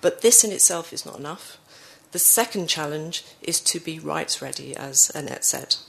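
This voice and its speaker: A woman with a cold giving a conference presentation, her voice croaky, with many fillers and self-corrections. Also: deep voice, lively intonation, normal speed, low-quality recording. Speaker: conference speaker.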